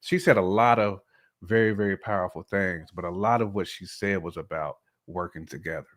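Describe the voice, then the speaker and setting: A man's voice: low voice, fairly quick, fairly bright tone. A man talking casually as a podcast guest, in a colloquial, slangy way with frequent check-in phrases. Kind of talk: casual chat, telling personal views.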